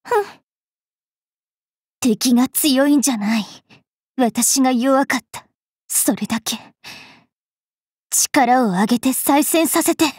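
A young female fairy knight from an anime game, with a very high voice and slow, drawn-out phrasing. She is cruel and wilful, haughty and taunting, with a rough tongue. The recording is dry and close. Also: a little mellow, no room sound, full character voice. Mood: bitter. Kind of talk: anime mobile game voice lines.

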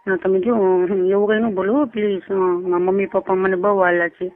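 A woman's voice: mid-range voice, flat delivery, normal speed. A woman with a Kathiawari Gujarati accent, chatting casually and privately on the phone. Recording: tinny phone call recording.

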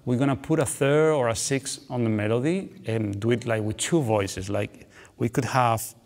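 A man, explaining guitar technique in a relaxed, chatty way, often ending phrases with "okay" and "you know". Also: medium pitch, normal speed. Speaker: guitar teacher. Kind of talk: instructional explanation between playing. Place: dark studio, seated with guitar on lap. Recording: long reverb, guitar playing between phrases.

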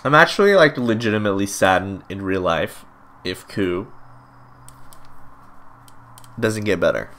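Expressive man gaming, pitch swooping widely. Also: unhurried, pauses often. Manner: emotional, gushing reactions. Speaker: gaming YouTuber. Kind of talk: casual let's play commentary.

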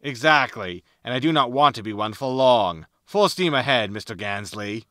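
A man's voice: mid-range voice, animated, normal speed, bright, forceful tone, no echo, studio quality. A man playing a roguish, wry spaceship captain with a laconic manner in a sci-fi comedy audio drama. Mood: wry, breezy. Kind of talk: sitcom audio drama acting.

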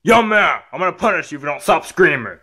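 A man's voice: a little high, big pitch swings, fast, sharp tone, exaggerated voice. A man voicing a stern, weary dad in an amateur skit, admonishing his rowdy child in a repetitive, subdued way. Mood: stern scolding.

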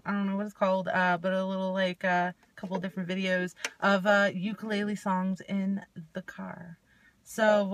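A young woman vlogging from inside her car at night, chatting casually with many fillers, recorded on a phone held at arm's length. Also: medium pitch. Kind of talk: casual chat, singing with ukulele. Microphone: phone camera at arm's length. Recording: loud ukulele close by. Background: ukulele strumming.

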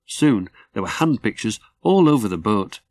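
Low-voiced man reading a children's story. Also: very animated, normal speed, darkish tone. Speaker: author reading his own story. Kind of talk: scripted children's story narration.

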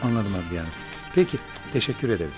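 An actor playing a polite, casual executive in a TV drama. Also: male voice, fairly low pitch, expressive, quick pace, lightly acted. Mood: polite, formal. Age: 40s. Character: polite, casual executive boss in his forties. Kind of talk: TV drama acting. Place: large office, on set. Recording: music under the dialogue.

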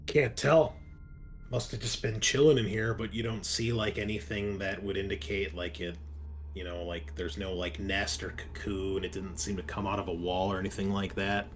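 A man narrating a tabletop roleplaying game as game master, casual and halting as he improvises descriptions. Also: low voice, flat delivery.

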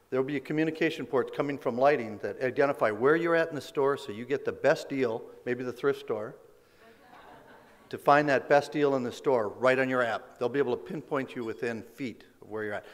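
A hoarse middle-aged man giving an award acceptance speech at a podium microphone, working through prepared remarks with small restarts and fillers. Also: mid-range voice. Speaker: company leader accepting a business award. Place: large indoor banquet hall stage. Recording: long reverb of a big banquet hall.

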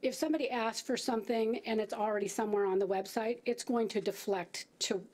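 A woman's voice: a little high, fairly quick, a little mellow. A middle-aged woman at a podium giving a staff briefing to a city council, talking conversationally with fillers and restarts. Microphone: podium microphone.

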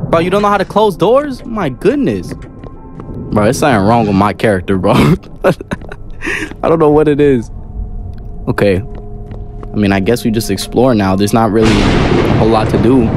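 A man's voice: medium pitch, animated, measured pace, long pauses, music in the background. Slangy young man reacting to a game. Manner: slangy, exclamatory, joking. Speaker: gamer making videos. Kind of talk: casual horror game playthrough commentary.

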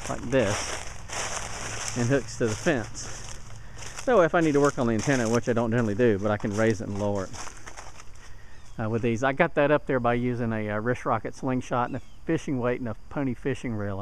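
Male hobbyist demonstrator, average pitch, casual how-to delivery, straightforward and explanatory, outdoor handheld recording with some ambient noise. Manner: plain-spoken, explanatory. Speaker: DIY radio hobbyist demonstrator. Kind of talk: casual hands-on tutorial narration. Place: outdoors in a yard. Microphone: handheld camera mic. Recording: outdoor handheld camera, clear background noise.

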